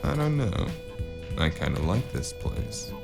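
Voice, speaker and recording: An actor playing a weary, wry, hard-boiled cyberpunk hired gun, narrating and talking in a dry deadpan in a noir audio drama. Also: male voice, fairly low pitch, measured pace, gravelly, exaggerated voice. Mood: guarded, deadpan. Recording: guitar music behind the voice. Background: plucked guitar music.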